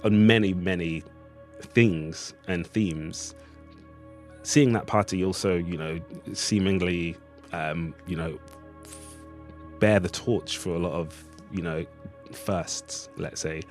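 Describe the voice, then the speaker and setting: A man's voice: deep voice, takes it slowly, darkish tone, faint music behind. A man speaking as an interview guest, reflecting on his creative work in a hesitant, thoughtful, hedging way. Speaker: playwright and artist, interview guest.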